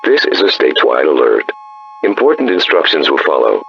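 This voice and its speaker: A man's voice: rumbling low, huge pitch swings, fairly quick, gravelly, fairly bright tone, full character voice. A synthetic male voice as an automated alert system, announcing in an impersonal, formal way. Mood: impersonal, official. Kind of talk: scripted emergency alert. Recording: dull lo-fi radio, faint hum.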